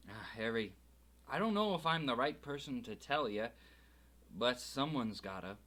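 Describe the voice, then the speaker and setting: A man voicing a huge, gruff but kindly giant with rough, folksy speech in an audiobook reading. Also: mid-range voice, animated, moderate pace, a bit bright. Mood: low worried.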